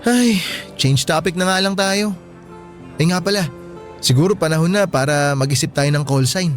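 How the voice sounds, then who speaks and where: A high-pitched young Filipino man acting as a schoolboy boyfriend in a radio drama. He is blunt and insistent, yet playful and sweet, with faint music behind him. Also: moderate pace, close to an everyday voice.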